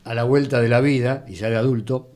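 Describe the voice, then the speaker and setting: A man's voice: medium pitch, steady tone, fairly quick, a little mellow. A mature man with a Buenos Aires area Argentine accent, telling anecdotes conversationally as an interview guest, thinking aloud as he goes. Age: middle-aged or older. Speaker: guest, radio actor and announcer.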